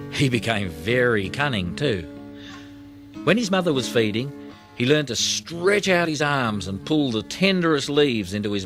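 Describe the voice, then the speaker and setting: A man reading a children's audiobook in an expressive storytelling style, with plucked guitar music behind him. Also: mid-range voice. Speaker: audiobook narrator.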